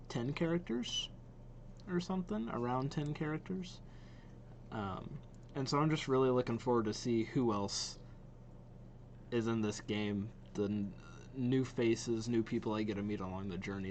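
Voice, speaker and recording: Male reaction vlogger: mid-pitched, slow-paced, informal and enthusiastic, slightly noisy recording. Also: darkish tone. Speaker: video host reacting to game trailers. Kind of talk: casual reaction vlog chat.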